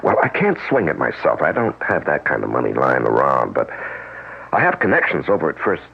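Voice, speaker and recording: An actor playing a concerned, well-meaning friend in a 1970s radio drama, halting and hesitant. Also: male voice, a bass voice, huge pitch swings, vocal fry, breathy edge, exaggerated voice. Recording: tinny lo-fi old radio broadcast.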